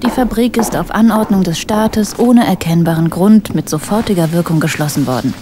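An actress playing a guarded village shopkeeper in a German radio play, dry, curt and matter-of-fact. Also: female voice, fairly low pitch, normal speed, a little hiss or hum, close to an everyday voice. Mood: resigned, guarded.

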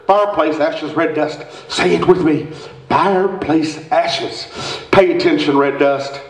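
A man's voice: on the high side, a little slow. A man playing an exasperated small-town fire chief, scolding his town with folksy sarcasm in a radio comedy. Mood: resigned. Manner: folksy, sarcastic, rhetorical pleading. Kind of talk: live radio-play comedy reading. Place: indoor theater stage, standing microphone, far camera. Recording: echoey theater stage, distant microphone.

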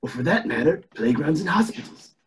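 A man voicing a haughty, scheming old cartoon boss, raspy and pompous. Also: fairly high pitch, big pitch swings, brisk, full character voice. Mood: evasive. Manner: pompous, dismissive.

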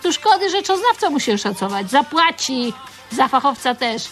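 An actress playing a coarse, foul-mouthed store security guard who hectors with scornful contempt in a radio drama. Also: female voice, sits high, very animated, normal speed, a bit bright, soft background music. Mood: accusing.

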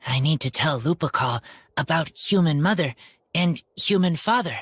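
Game role, earnest wolf-raised boy: lowish husky voice, terse halting delivery. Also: lively intonation, dry recording, clean studio sound. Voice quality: husky, breathy. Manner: halting, terse broken sentences. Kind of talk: video game character acting.

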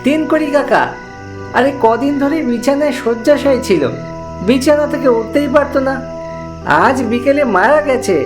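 Woman acting as village man, highish voice, sombre, resigned, colloquial, cartoon ghost story, clear background music. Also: normal speed, lightly acted. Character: village man, friend in a funeral procession, sombre. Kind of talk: dramatised audio ghost story.

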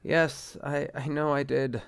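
An actor playing an anxious, exasperated bishop in an audio drama, formal and hesitant in manner. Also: male voice, a little high, flat delivery, slow, gravelly, smooth, no echo. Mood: harried. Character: anxious, exasperated, skeptical married male bishop. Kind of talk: radio-play style drama acting.

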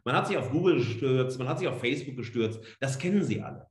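Animated man lecturing in an echoey room. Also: mid-range voice. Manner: emphatic and rhetorical, with repeated phrases and pointed pauses. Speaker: professor giving a lecture. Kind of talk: free-spoken academic lecture.

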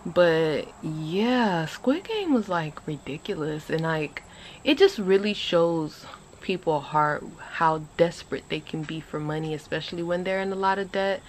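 A woman in her twenties chatting casually to a phone camera on an outdoor porch, animated and rambling. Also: unhurried, slight background noise. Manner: animated, chatty, rambling with lively pitch jumps. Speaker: vlogger. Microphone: phone camera at arm's length.

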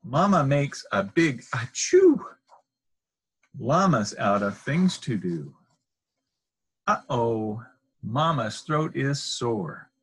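A middle-aged man with a husky voice reads a children's picture book aloud in a deliberate, sing-song way. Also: mid-range voice, sing-song. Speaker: storybook reader. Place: small indoor room.

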